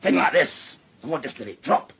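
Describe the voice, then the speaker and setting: A man's voice: on the high side, animated, creaky, a bit bright, breathy edge, heavily acted. An actor playing a belligerent, suspicious middle-aged father who likes a drink, blustering in a Northern English accent in a radio drama. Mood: insistent. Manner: blustering, halting when explaining.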